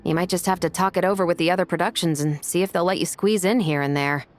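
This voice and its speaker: A woman's voice: lowish voice, steady tone, fast talker, soft background music, close to an everyday voice. A woman voicing an earnest, dutiful young musketeer officer in a video game, polite and upbeat. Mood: earnest, helpful.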